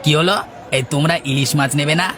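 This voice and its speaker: A man voicing a firm, haggling fish seller in a village cartoon, with a brisk, unyielding sales manner. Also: fairly high pitch, sharp tone, faint music behind, some chatter behind. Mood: insistent, dismissive. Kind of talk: Bengali moral-story cartoon dubbing.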